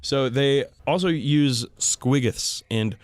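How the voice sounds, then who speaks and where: A man's voice: medium pitch, slow, dry recording. A man hosting a podcast, explaining things in a casual, enthusiastic hobbyist way. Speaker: podcast host.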